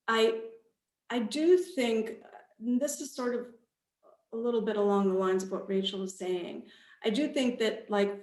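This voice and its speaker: Slow-speaking woman in an echoey room. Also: mid-range voice. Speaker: author on a festival panel. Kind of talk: casual, reflective panel talk. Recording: echoey, roomy sound.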